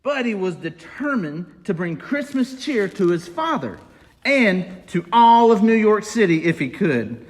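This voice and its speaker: An excitable Christmas elf, played by a man, reads a children's storybook in a high, swooping voice. The delivery is slow, theatrical and childlike, with exclamations. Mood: cheerful, earnest.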